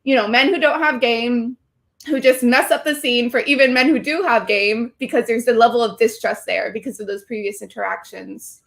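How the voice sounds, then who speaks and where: A woman's voice: fairly high pitch, normal speed, gravelly. A young woman from Puerto Rico, chatting as an interview guest and leaning on "like" as a filler. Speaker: guest, streamer. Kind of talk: casual interview chat.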